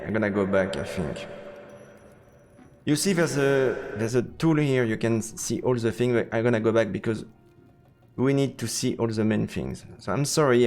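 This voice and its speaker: Echoey, creaky-voiced man streaming a game. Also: fairly low pitch, a little mellow. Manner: hesitant, thinking aloud while playing. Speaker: streamer playing a visual novel. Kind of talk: live let's play commentary and read-aloud narration. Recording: echoey room with long reverb, game music behind.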